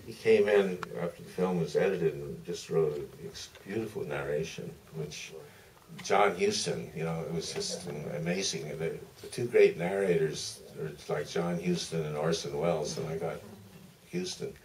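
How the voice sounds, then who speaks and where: A man's voice: extremely low pitch, normal speed, darkish tone. An older man, a film director, telling anecdotes at a live audience Q&A in a theater. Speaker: documentary director, panel guest. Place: museum theater. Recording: distant, noisy auditorium pickup.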